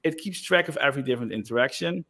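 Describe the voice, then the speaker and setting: A man giving a casual screen-share demo of software, loose and chatty with frequent fillers. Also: mid-range voice, lively intonation, racing. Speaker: software developer presenting.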